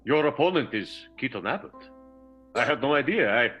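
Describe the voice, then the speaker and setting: Man acting a theatrical radio interviewer, bright forceful voice, swooping intonation, probing and portentous. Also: mid-range voice, lively intonation, moderate pace, faint music behind, natural voice, rough recording. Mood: dramatic, probing. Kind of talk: improvised comic radio play.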